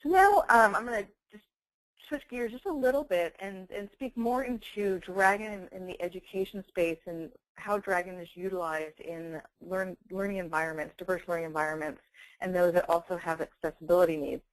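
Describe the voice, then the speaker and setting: A woman presents software in a webinar, speaking in a fairly low voice. Her delivery is semi-scripted yet conversational and explanatory. The sound is dry, with very little room around the voice. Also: fairly quick, no echo, low-quality recording.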